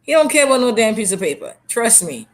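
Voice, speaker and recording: A woman's voice: fairly high pitch, sing-song, quick pace. A woman from Trinidad with a Trinidadian accent, an author and motivational speaker talking candidly in an interview, blunt and emphatic, repeating phrases for emphasis. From Trinidad and Tobago.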